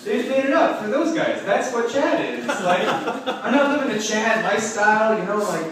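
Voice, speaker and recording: A man's voice: high-pitched, moderate pace. A young man doing a stand-up comedy set in a club, rambling and self-mocking, full of filler words and swearing. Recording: echoey room recorded from a distance with noise.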